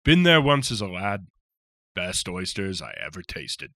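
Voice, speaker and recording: Male narrator as a jovial giant soldier, deep and bright, dry read. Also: sing-song, measured pace, no echo, heavily acted. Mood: hearty. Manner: hearty, rough folksy speech. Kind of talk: audiobook character voice.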